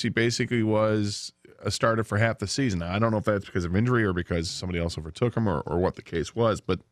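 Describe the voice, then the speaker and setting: A man's voice: fairly low pitch, no echo. A man with an American accent co-hosting a sports radio show in casual discussion on a studio microphone. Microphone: studio broadcast microphone.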